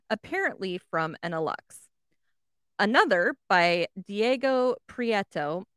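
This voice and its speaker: A woman's voice: medium pitch, fairly bright tone, dry recording, studio quality. A woman co-hosting a podcast, casually relaying odd news in an amused, informal and sweary way. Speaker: podcast co-host.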